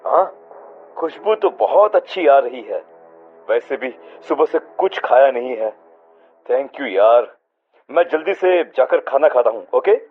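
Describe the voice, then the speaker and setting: A man's voice: medium pitch, expressive, takes it slowly. A man playing an earnest young husband with dramatic delivery in a horror cartoon. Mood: friendly concern. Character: caring young husband, bewildered and frightened. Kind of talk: cartoon horror dialogue acting. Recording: muffled audio with faint music.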